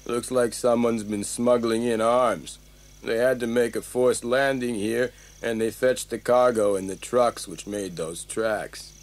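A man's voice: mid-range voice, fairly even intonation, unhurried, lightly acted. A man acting a clipped soldier of fortune. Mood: businesslike. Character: brisk male soldier of fortune reporting findings.

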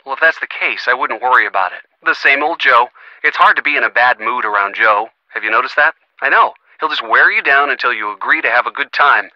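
An actor playing a supportive, teasing young boyfriend in a video game, earnest and lightly wry, heard through a phone filter. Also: male voice, mid-range voice, a little fast, bright, forceful tone, dry recording. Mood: wry, chatty. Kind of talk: video game voice acting, conversational. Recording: thin, band-limited phone-like sound.

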